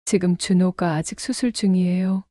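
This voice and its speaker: A woman's voice: fairly low pitch, steady tone, normal speed, dark tone, no echo, natural voice. An actress playing a wounded, bitter middle-aged ex-wife and mother in an audio drama, guarded and reproachful. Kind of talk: Korean audio drama dialogue.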